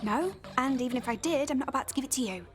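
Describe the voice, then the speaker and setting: Feisty youngster, high voice, rapid delivery, teasing, radio soap. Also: female voice, lively intonation, loud music behind the voice. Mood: competitive, teasing. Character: feisty, teasing young woman playing arcade game. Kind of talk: radio soap opera acting.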